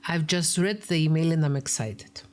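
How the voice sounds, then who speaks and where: A woman's voice: low-pitched, moderate pace, darkish tone. A woman psychologist with a Greek Cypriot accent, reading out a viewer's email and commenting on it in an earnest, emphatic way, sometimes dropping to a whisper for stress. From Cyprus. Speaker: psychologist and YouTube host.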